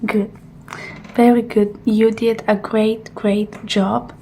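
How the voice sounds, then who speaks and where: Slow, soft-spoken ASMR woman, half whispering. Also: on the high side, flat delivery, a little hiss or hum. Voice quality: soft, often dropping into a breathy whisper. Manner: gentle, murmured short reassurances and instructions. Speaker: ASMR roleplayer playing a technician who examines a robot. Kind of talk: ASMR roleplay, soft personal attention.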